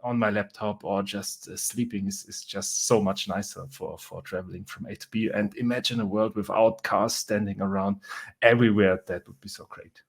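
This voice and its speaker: A young man from southern Germany with a German accent, chatting as an interview guest, relaxed and halting with many fillers. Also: lowish voice, vocal fry, slightly rough. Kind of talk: casual interview chat.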